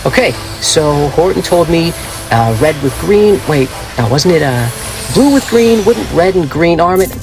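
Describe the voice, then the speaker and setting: An actor playing a boyish, folksy, plucky young soldier sidekick in an audio drama. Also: male voice, a little high, lively intonation, a little slow, over music, poor audio. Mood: nervous muttering.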